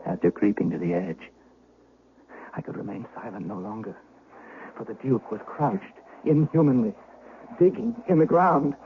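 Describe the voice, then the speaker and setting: An actor playing a grave, conscience-stricken older servant of a Duke, speaking formally and solemnly in a radio drama. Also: male voice, fairly low pitch, moderate pace, long pauses, natural voice. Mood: grave, haunted. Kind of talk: 1970s radio drama acting and dramatic first-person narration. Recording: tinny, noisy old radio broadcast.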